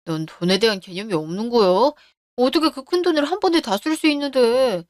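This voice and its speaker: A woman voicing a middle-aged man who is deep in debt and blames others, in a narrated radio story. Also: expressive, brisk, darkish tone, dry recording, clean studio sound, close to an everyday voice. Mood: lecturing. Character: failing middle-aged man, indebted front-man boss.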